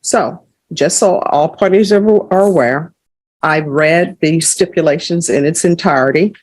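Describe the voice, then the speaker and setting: A woman judge running a hearing in a calm, procedural manner. Her voice sits on the lower side for a woman. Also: no echo. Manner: measured, procedural. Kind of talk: courtroom proceedings. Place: courtroom.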